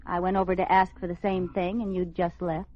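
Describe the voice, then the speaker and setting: An actress playing a playful, scatterbrained, affectionate housewife, coy and teasing, in an old radio comedy style. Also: female voice, lowish voice, level tone, a little fast, no room sound, natural voice. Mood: coy, tender. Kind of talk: 1940s-50s radio comedy acting. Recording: dull, muffled old radio recording.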